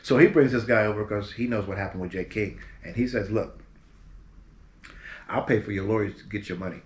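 A man in his fifties from Berkeley, California, a musician guest telling stories from his career in a relaxed, animated way, seated in front of a green screen. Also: fairly low pitch, a little fast. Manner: relaxed, animated storyteller with lively quoted voices. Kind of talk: casual storytelling interview. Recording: roomy echo with faint background hiss.

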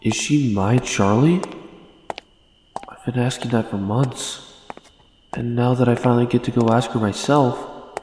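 A man's voice: mid-range voice, fairly even intonation, a little slow, long pauses, darkish tone, a little hiss or hum, lightly acted, rough recording. A young man voicing an anxious, earnest young man in a comic dub, hesitant and doubtful in dramatic dialogue. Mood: brooding. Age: young adult. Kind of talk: dramatic dialogue and inner narration. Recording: music behind the voice.

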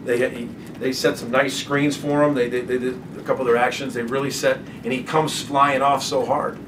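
A middle-aged man sits at a press table answering postgame questions as a basketball coach, halting and restarting as he repeats himself. The desk mic picks him up from a little way off, with a clear room hum behind. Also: mid-range voice, little pitch movement. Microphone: desk microphone from a distance. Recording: distant mic, clear background noise.

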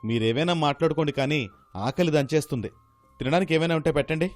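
A man's voice: a little high, fast talker, dry recording, soft background music, close to an everyday voice. A man playing a puzzled young husband in a story, plain-spoken and mildly grumbling. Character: hungry, puzzled young husband home from office.

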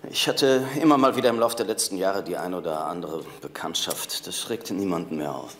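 Deep-voiced, defensive married man. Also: fairly quick, slight background noise. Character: grieving married man, defensive, questioned by police. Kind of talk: TV crime drama dialogue.

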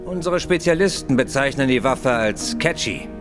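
Male actor as police inspector, grudging, formal, self-assured, lively intonation, audio drama. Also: medium pitch, moderate pace, over music, natural voice. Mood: grudging, matter-of-fact. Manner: officious, brusque. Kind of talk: German audio drama acting.

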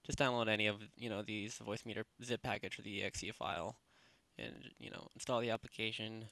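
A man narrating a software tutorial in a casual, unscripted, rambling way, speaking informally with lots of filler phrases. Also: on the low side, steady tone, fast, creaky, no echo. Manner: rambling, informal, repeats "basically" and "you know". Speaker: tutorial presenter. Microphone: close headset microphone.

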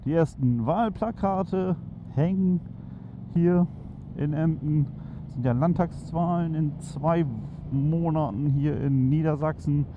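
Motovlog host: man, excitable and rambling, muffled helmet-mic sound with loud wind and traffic behind. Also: on the high side, slow. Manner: chatty, enthusiastic, many fillers. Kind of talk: casual chat while riding. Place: on a motorcycle in city traffic outdoors. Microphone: helmet headset. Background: engine, wind and traffic.

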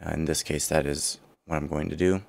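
A man with a partly lost, rough voice walks through a computer design tutorial, thinking aloud and correcting himself as he goes. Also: rumbling low, fairly even intonation, a little fast. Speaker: CAD tutorial instructor. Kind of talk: screen-recorded software walkthrough.

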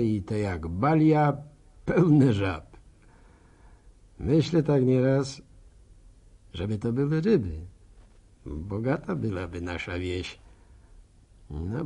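A nostalgic village bachelor narrating a radio serial. His voice is low and husky, with speech. He muses slowly and folksily, savouring his words. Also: dark tone, heavily acted. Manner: folksy, musing, unhurried.

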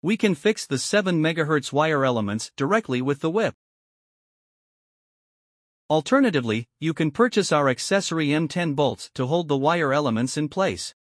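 A man narrates a product demonstration in a scripted, step-by-step instructional style. His voice is slightly high, recorded close and dry as a voice-over. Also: animated, moderate pace, no echo.

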